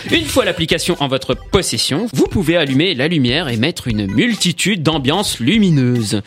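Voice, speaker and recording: Animated high-voiced man presenting on radio. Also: normal speed. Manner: chatty, joking. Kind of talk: radio tech segment with banter. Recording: faint music behind.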